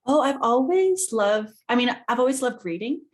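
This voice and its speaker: A woman from New Zealand, in her thirties, a guest author chatting in an interview over a video call, talking in quick, animated bursts. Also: fairly high pitch. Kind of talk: conversational interview.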